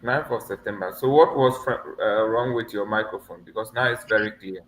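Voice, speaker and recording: A man's voice: fairly low pitch, fairly quick, fairly bright tone, slight background noise. A man coaching a student for a mock visa interview over a video call, teasing and laughing often but encouraging. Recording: echoey room with faint ambience.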